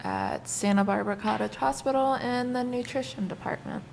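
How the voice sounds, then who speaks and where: Creaky-voiced woman in her twenties. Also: fairly high pitch, wildly swooping pitch, moderate pace, a little mellow, a little hiss or hum. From California. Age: 20s. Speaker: panel guest.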